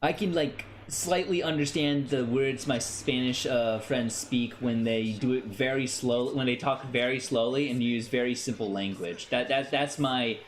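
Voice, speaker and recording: A young American man chatting casually on a game stream, animated and playful, often stammering and repeating phrases. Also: medium pitch, unhurried, hardly any pauses, soft background music. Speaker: livestreamer, VTuber.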